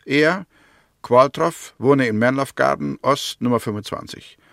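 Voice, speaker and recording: An actor playing a firm, methodical prosecutor in a 1960s German radio drama, speaking formally and precisely. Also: male voice, mid-range voice, measured pace, creaky, fairly bright tone, dry recording, clean studio sound. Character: male prosecutor, methodical, firm, convinced of guilt. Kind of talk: 1960s German radio drama acting, courtroom-style argument.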